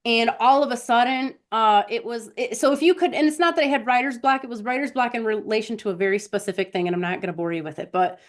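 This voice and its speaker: A woman's voice: fairly high pitch, fast talker, hardly any pauses. A woman hosting a live stream, telling personal stories in a casual, conversational way and talking directly to her listeners.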